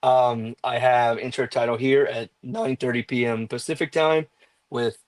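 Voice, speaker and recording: A man's voice: mid-range voice, fairly even intonation, a little slow, no room sound. A man speaking casually as a guest on a stream, running through his upcoming show plans over a phone.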